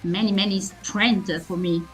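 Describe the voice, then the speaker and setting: Slow, halting woman interviewer. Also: medium pitch, steady tone, soft background music. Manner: halting, searching for words in non-fluent speech. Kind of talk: interview questions.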